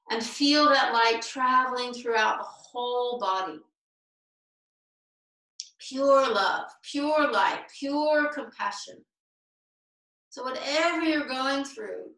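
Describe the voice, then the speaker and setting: Woman, meditation teacher, slow spaced-out phrases, clear bright tone, distant roomy video-call sound. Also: a little high. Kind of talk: guided meditation.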